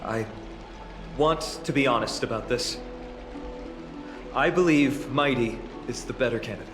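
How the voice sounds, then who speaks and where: An actor playing an earnest, stoic young hero, humble and sincere, in a video game drama. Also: male voice, mid-range voice, lightly acted. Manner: slow, deliberate, sincere. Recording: echoey, over background music.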